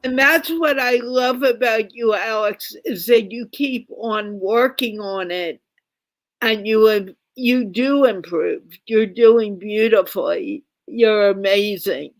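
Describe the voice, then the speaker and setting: A woman hosts an online English conversation class over a video call, speaking slowly and in a firm, teacherly way. Her voice sits a little high, and the sound is dry and close. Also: no echo. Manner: firm, instructive, reminds guests to mute. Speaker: host of an English conversation show. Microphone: computer mic on a video call.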